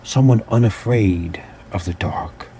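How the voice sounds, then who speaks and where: A man's voice: lowish voice, slight background noise. A man playing a haunted, battle-hardened army captain, narrating occult horrors in a brooding, theatrical way with clipped dramatic phrases in an audio drama. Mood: grim, resolute. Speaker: voice actor.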